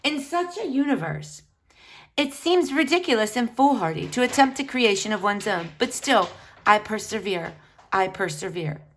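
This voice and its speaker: A woman's voice: mid-range voice, expressive, moderate pace, a little hiss or hum, natural voice. A woman voicing a pompous, bookish young boy in a storybook, polite, precise and long-winded. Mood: earnest, self-important.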